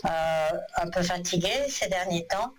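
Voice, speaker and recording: A man's voice: high-pitched, expressive, unhurried, a crowd in the background, low-quality recording. A man reading a caller's future on a phone-in show, reassuring and full of hesitant fillers. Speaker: clairvoyant phone-in host.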